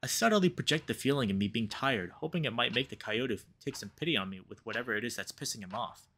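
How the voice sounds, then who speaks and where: A man's voice: mid-range voice, fast, a little hiss or hum. A man hosting a playthrough, expressively reading a visual novel aloud and reacting to it with commentary. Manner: animated, reacts aloud to the story. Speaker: let's play host, reader.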